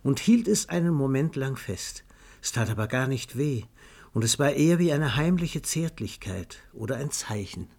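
Male narrator, medium pitch, scripted literary storytelling, measured delivery, radio play. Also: very animated, gravelly, darkish tone.

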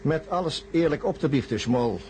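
A man's voice: on the high side, animated, normal speed, darkish tone, lightly acted. A man playing an insistent, confrontational psychiatrist in a science-fiction radio play, speaking formally and pointedly. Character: insistent male psychiatrist demanding the hidden truth. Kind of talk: radio drama acting. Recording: noisy old radio recording with hum.

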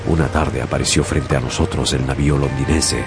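A man narrating as a sailor in a scripted radio drama, in measured storytelling. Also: extremely low pitch, steady tone, over loud music. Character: young sailor narrating his voyage.

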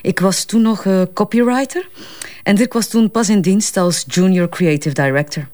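An actress with a Flemish accent playing a brisk, candid advertising strategist who gets defensive under police questioning, in a naturalistic crime drama. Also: female voice, medium pitch, a little mellow, lightly acted. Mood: explaining, confident.

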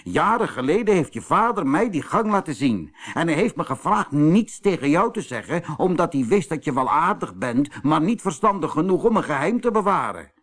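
A man's voice: a little high, big pitch swings, normal speed, a bit bright, pitched above the performer's own voice. Man putting on a stern, commanding badger. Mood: stern, indignant. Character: stern, authoritative male badger, grave elder friend.

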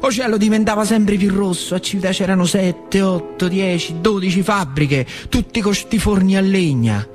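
A man's voice: high-pitched, moderate pace, hardly any pauses, faint music behind, close to an everyday voice. An actor playing an old factory worker recalling his boyhood in a colloquial theatrical monologue, with a rural central Italian accent from northern Lazio. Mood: earnest, reminiscing. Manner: colloquial, vivid, swinging between hushed and cried-out delivery. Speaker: stage actor performing a monologue. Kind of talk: theatrical storytelling monologue for radio.

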